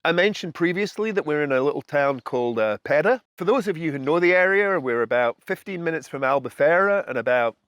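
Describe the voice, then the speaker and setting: A man's voice: on the high side, animated, brisk, hardly any pauses, a bit bright, clean tone, dry recording. A middle-aged man with a Scottish accent, giving a casual, explanatory talk to camera as a channel host. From Scotland. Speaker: host of a food and travel channel. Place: outdoors on a house balcony.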